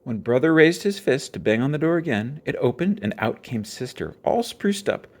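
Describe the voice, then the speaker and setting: A man narrating a children's storybook aloud with expressive storytelling. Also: mid-range voice, moderate pace. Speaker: storybook reader.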